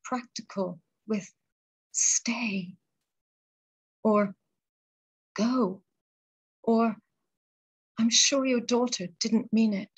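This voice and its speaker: A woman poet reading her poems aloud and talking between them, in a measured, deliberate way with pauses between phrases. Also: on the high side, slightly rough. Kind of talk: poetry reading.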